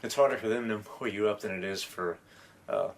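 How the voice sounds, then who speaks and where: A man with a low voice talks on a casual podcast, pausing often to fill gaps with "uh" and "um". Light background hiss runs beneath him. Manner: hesitant, many "uh" and "um" fillers. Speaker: podcast co-host.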